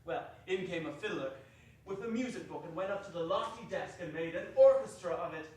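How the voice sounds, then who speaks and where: Theatrical male storyteller, unusually high pitch, emphatic, heard through hall echo and faint noise. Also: expressive, fairly quick, a little mellow. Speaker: narrator. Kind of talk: theatrical scripted narration. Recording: noisy echoey hall from far off.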